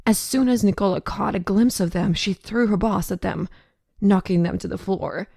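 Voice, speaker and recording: A woman's voice: mid-range voice, moderate pace, vocal fry, mellow, dry recording, studio quality. A woman reading scripted narration for an audio drama.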